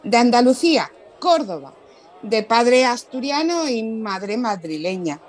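A woman's voice: a little high, very animated, a little slow, a faint crowd. A woman playing a chatty, hospitable Andalusian wife in a radio drama.

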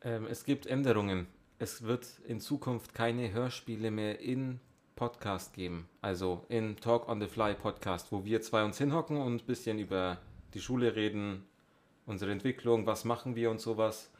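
A man's voice: on the low side, normal speed. A man chatting casually as the co-host of a podcast. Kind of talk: casual chat.

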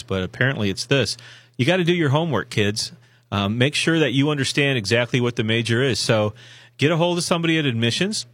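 A hoarse man co-hosts a college radio show from a studio, giving rambling, folksy advice full of restarts and fillers. His voice sits in a middle pitch. The studio sound is dry and close. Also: a bit bright, no room sound. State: hoarse from a sinus infection. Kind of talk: casual radio promo talk.

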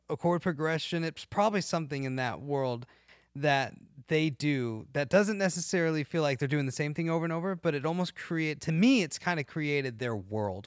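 A man's voice: a little high, smooth, no room sound. A man hosting a podcast, chatting casually about music and often searching for his words. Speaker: podcast host. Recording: dry, music playing behind.

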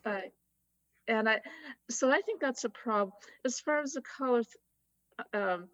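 A woman's voice: fairly high pitch, animated, a little slow, a bit bright, no room sound, lo-fi sound. Chatty woman with a Boston accent. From United States. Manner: chatty, laughs easily, many fillers. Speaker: guest. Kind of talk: casual conversation.

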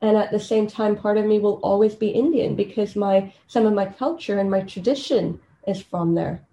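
This is a woman from Kenya in a conversational podcast interview. Her voice is warm and relaxed in timbre, sitting at an ordinary pitch. She talks in a personal, storytelling way. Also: normal speed, slight background noise. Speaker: podcast guest.

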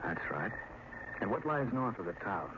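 An actor playing a grim, stubborn small-town sheriff in an old-time radio drama, with a folksy, plainspoken manner. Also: male voice, lowish voice, very animated, moderate pace. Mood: tense, watchful. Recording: noisy, muffled old radio recording.

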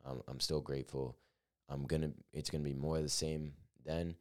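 A man's voice: rumbling low, little pitch movement, moderate pace. An eighteen-year-old man hosting his own podcast, talking through his plans in an earnest, upbeat, rambling way with many fillers. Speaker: podcast host. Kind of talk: solo podcast monologue.

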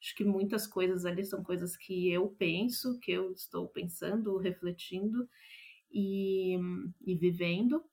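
A woman's voice: fairly low pitch, a little slow, darkish tone. A Brazilian woman speaking as an interviewed playwright, reflective and thoughtful, often searching for the right word.

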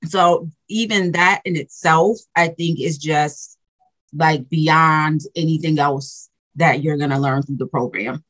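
A woman's voice: deep voice, fairly even intonation, slow, a bit bright. A woman hosting a podcast, chatting in an upbeat, friendly way with her guest and laughing easily. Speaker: podcast host. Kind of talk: casual interview talk.

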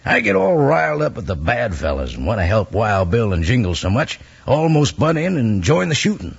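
An American man doing a hearty, folksy 1950s radio sales pitch in cowboy patter. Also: wildly swooping pitch, moderate pace, creaky, husky. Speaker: announcer and sponsor pitchman. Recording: muffled old radio broadcast.

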